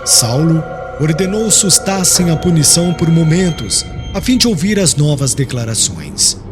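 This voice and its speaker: Animated, high-pitched male narrator over music. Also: unhurried. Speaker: audiobook narrator. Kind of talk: dramatic scripted narration of religious historical novel. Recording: music clearly behind the voice.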